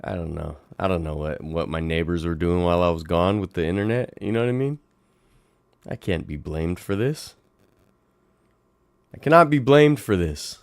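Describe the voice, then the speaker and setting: A young man with a raspy voice, hosting a casual late-night livestream from home and chatting playfully with viewers. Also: deep voice, moderate pace, long pauses, no echo, studio quality. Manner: animated, playful, talks to chat viewers. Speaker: livestream host.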